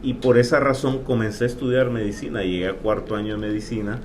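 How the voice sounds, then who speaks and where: Earnest man, low voice. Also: steady tone. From Honduras. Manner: earnest and hesitant, with many pauses. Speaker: insurance agent guest. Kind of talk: casual interview. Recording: faint background noise.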